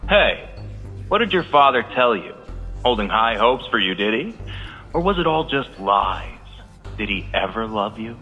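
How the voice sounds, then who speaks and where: A man's voice: lowish voice, very animated, a little slow, bright, forceful tone, faint music behind, exaggerated voice. A man playing a scornful nobleman who jeers with open contempt, in a game cutscene. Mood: jeering. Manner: jeering, contemptuous. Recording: dull reverberant game audio.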